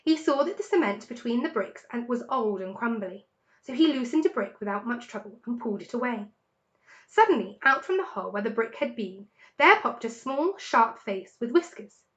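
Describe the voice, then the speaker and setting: A woman narrating a children's story in an expressive, dramatic storytelling manner. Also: fairly high pitch, moderate pace, muffled recording. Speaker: story reader.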